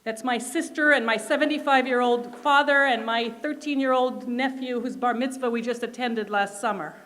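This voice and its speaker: Middle-aged female rally speaker, native Hebrew speaker from Israel, higher-pitched voice, emphatic and deliberate prepared oratory. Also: fairly even intonation, fairly bright tone. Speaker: community organization chair speaking at a rally. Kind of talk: prepared political speech.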